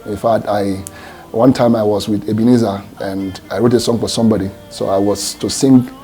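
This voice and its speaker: A man's voice: on the low side, steady tone, moderate pace, dark tone, faint music behind. A Nigerian man, a gospel singer, answering interview questions in a reflective, hesitant way with many fillers and restarts.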